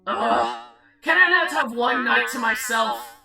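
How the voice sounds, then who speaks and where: A woman voicing a haughty, irritable female void goddess from a visual novel, with a creaky voice and an imperious, scornful manner. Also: on the high side, wildly swooping pitch, slow, recorded from a distance, heavily acted. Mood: exasperated. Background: background music.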